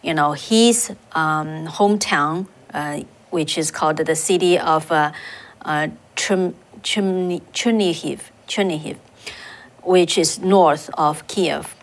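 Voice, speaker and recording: A woman co-hosting a news show, introducing an interview she did, with an unhurried manner and many fillers. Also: sits low. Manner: unhurried, with fillers and restarts on hard names. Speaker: reporter and co-host.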